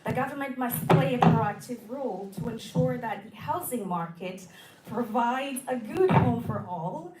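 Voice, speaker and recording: A woman's voice: a little high, darkish tone. A woman answers as a political candidate in a campaign debate, her voice wearing out. She stumbles and corrects herself as she goes. The sound is roomy and distant, with faint noise behind. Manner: halting, with self-corrections and repeated words. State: losing her voice. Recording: echoey hall, distant mic, faint noise.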